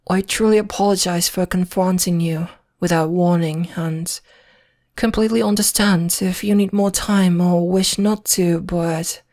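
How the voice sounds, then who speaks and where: Slow, low-voiced British vampire lady acted by a woman. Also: a little mellow. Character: refined, possessive, courteous centuries-old female vampire, lovestruck. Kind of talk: ASMR roleplay, intimate scripted monologue.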